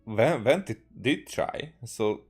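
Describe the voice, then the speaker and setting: A man's voice: mid-range voice, animated, slow pace, a little mellow, breathy edge, soft background music. A man with a Hungarian accent giving casual live game commentary in hesitant, broken-up phrases, as an easily amused streamer.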